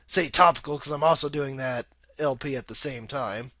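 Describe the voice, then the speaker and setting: A man's voice: mid-range voice, normal speed, pushed and bright, dry recording. A young man giving casual, rambling gameplay commentary in an informal way, swearing freely. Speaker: video game let's play host.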